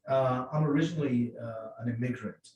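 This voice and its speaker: A middle-aged man from Egypt speaking on a panel in English, with many halting restarts and fillers. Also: mid-range voice, lo-fi sound. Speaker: mosque outreach volunteer. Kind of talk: panel discussion. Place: event room. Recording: roomy distant sound with faint noise.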